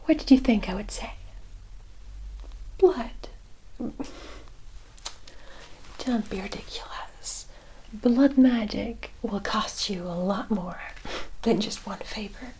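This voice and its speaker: A woman playing a brisk, confident forest witch in a whispered ASMR roleplay, breathy, softly bossy and reassuring. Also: medium pitch, a little hiss or hum, close to an everyday voice, rough recording. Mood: wry, impatient. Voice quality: breathy whisper, mostly unvoiced.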